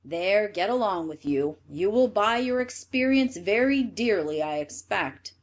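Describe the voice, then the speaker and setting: Storybook father played by a woman: stern, skeptical, firm, with animated intonation. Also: mid-range voice, measured pace. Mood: stern, resigned. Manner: firm, discouraging. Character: stern, doubting father of woodcutter sons.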